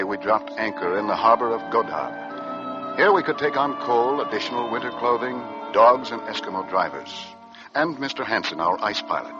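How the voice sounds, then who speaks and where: An actor playing an earnest, thoughtful ship's officer narrating in old-time radio drama, measured, sober and respectful. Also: male voice, medium pitch, lightly acted. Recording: dull old radio recording with music.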